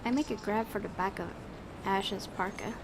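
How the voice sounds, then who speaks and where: A woman's voice: medium pitch, normal speed, noisy background. A woman hosting a let's-play, reading a visual novel's narration aloud with dramatic storytelling delivery. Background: game music.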